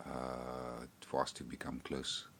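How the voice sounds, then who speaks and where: A man's voice: rumbling low, sing-song, slow, gravelly, a bit bright, slight background noise. A man from South Africa with a South African accent, chatting casually on a podcast in a rambling way, often repeating words. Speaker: podcast guest and co-host. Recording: remote call.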